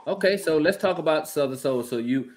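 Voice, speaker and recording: A man's voice: a little high, animated, a little fast. Man, interview host, casual, teasing, repeats questions.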